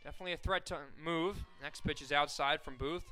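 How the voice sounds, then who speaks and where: A young man calling softball play-by-play on the radio, steady and full of stats, rising sharply on big plays. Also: on the high side, a little fast. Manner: steady, fact-filled call that rises sharply on big plays. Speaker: play-by-play announcer.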